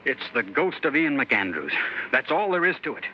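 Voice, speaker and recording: A man's voice: mid-range voice, sing-song, normal speed, lo-fi sound, close to an everyday voice. An actor playing an eager, jumpy small-town man in a 1950s radio drama. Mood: uneasy. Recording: very hissy old radio broadcast.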